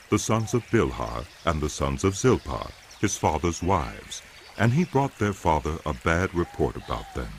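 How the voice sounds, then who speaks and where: A man giving dramatic, scripted Bible narration in a solemn, deliberate manner. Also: sits low, a little hiss or hum. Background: music.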